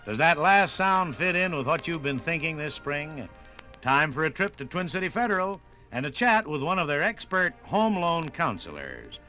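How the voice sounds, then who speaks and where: A man's voice: on the high side, big pitch swings, moderate pace, pushed and bright, faint music behind. A man reading a folksy, conversational sponsor message in a 1950s radio style.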